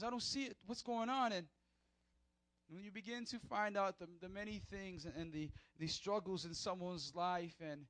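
A man preaching a sermon as pastor in a church, animated, acting out short skits in other voices. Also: sits high, no room sound.

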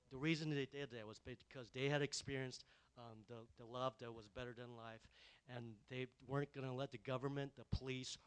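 A man gives a halting testimony in church, his speech full of ums. His voice is mid-pitched, smooth and resonant. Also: a bit bright. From Taiwan, raised in the USA. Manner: hesitant, many ums and uhs. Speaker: guest giving a testimony.

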